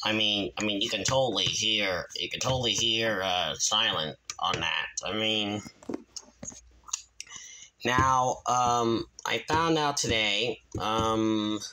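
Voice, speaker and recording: Halting man, bright forceful voice. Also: lowish voice, slight background noise, poor audio. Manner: halting, repetitive. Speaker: video host. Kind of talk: casual show-and-tell narration. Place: room at home at night.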